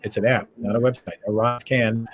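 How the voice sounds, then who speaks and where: A man's voice: mid-range voice, fairly even intonation, fairly quick, husky. A man with a head cold and a froggy voice, hosting a casual travel news chat from home over a video call. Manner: chatty, rambling with fillers. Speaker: host. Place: his home.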